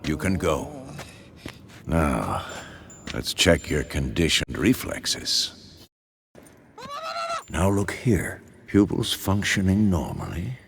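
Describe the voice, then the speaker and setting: A man voicing a crusty, curt old monster-hunter mentor in a video game, with a gravelly voice and an unhurried manner. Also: sits low, lively intonation, slight background noise, full character voice. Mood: gruff, matter-of-fact. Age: older. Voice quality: gravelly, creaky.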